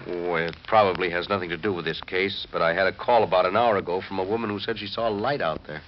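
World-weary male police lieutenant played by a man, low voice, offhand slangy manner, vintage radio with faint noise. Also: fairly even intonation, quick pace, close to an everyday voice. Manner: hesitant, casual questioning with drawled slang. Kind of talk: 1940s radio mystery acting. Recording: muffled, noisy 1940s radio recording.

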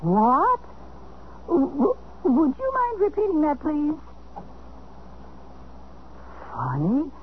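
An actress playing a droll, sarcastic housekeeper in a 1970s radio comedy drama. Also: female voice, high voice, slow, heavily acted. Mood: incredulous. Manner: deadpan sarcastic answers. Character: wry, droll female housekeeper of a separated couple. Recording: muffled, noisy 1970s radio broadcast.

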